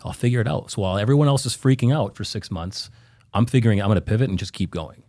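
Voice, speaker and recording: A man's voice: fairly low pitch, animated, fast, a little mellow, dry recording, studio quality. A man from Canada co-hosting a casual podcast chat, blunt and emphatic. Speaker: podcast co-host, real estate agent.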